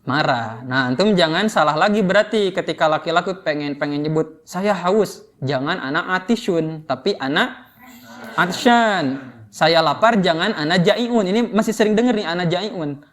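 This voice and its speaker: High-pitched Indonesian man teaching. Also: fairly bright tone. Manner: informal, prompting with questions. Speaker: Arabic grammar teacher. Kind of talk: interactive lesson, explaining and quizzing students.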